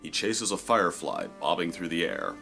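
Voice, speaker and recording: A man reading scripted story narration in a measured, descriptive way. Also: deep voice, huge pitch swings, creaky, sharp tone, soft background music.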